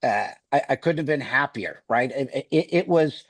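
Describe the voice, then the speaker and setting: A man's voice: medium pitch, animated, moderate pace, no echo. A middle-aged man with a Wisconsin accent, talking on a video call to a sales team, rambling with many restarts. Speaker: sales executive.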